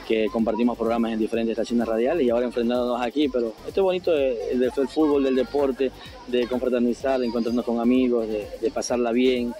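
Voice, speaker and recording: A man's voice: medium pitch, fairly even intonation, quick pace, warm tone, faint music behind, rough recording. A man, giving a post-match interview outdoors as a player. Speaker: radio broadcaster, winning player. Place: outdoor football pitch. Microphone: handheld phone held near chest.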